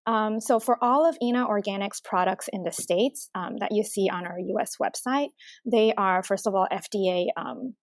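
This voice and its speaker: A woman from Taiwan, a company representative, talking casually in an interview and pausing often with filler words. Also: medium pitch, no room sound. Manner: halting, full of filler words.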